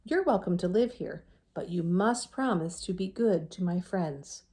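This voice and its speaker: A woman reading a children's storybook as an eager, polite, warm-hearted male hermit crab, exclaiming with sincere wonder. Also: fairly low pitch, expressive, unhurried. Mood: fond, wistful. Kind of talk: children's storybook read-aloud.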